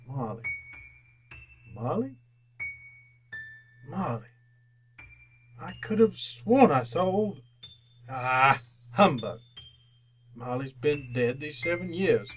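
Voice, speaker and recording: A man's voice: a little high, animated, very slow, long pauses, soft background music. A man playing a miserly, cantankerous old businessman in an old-time radio drama, with a grumbling, theatrical, sputtering delivery. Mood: uneasy, frightened.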